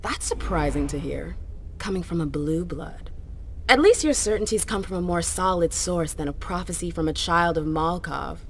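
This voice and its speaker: Vampire socialite, somewhat low pitch, animated intonation, sarcastic, defiant, sharp-tongued, video game cutscene. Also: female voice, normal speed, slight background noise. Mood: scornful. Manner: sarcastic, indignant, casual. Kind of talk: dramatic game cutscene acting.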